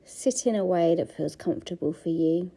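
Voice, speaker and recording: Woman meditation guide: dark, gravelly voice, soft and half-whispered, unhurried. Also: fairly low pitch. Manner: slow, soft-spoken, often half-whispered.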